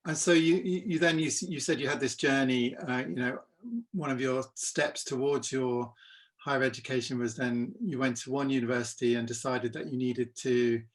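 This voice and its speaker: A man hosts a conversational podcast interview. He forms his questions slowly in pieces, pausing and starting again, at a middle pitch. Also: fairly even intonation.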